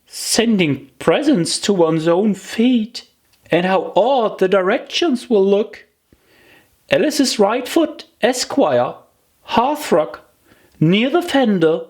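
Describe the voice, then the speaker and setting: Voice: mid-range voice, very animated, slow pace, a little mellow, pitched above the performer's own voice. A storybook narrator voicing a fretful, chatty, prim young girl who muses aloud to herself in exclamations. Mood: fretful, whimsical.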